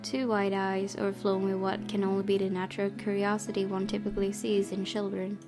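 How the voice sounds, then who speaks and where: A woman reading visual novel text aloud as a game streamer, with expressive, chatty asides. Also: mid-range voice, a little fast. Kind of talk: let's play, reading game text aloud with casual commentary. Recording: game music behind voice. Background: game music.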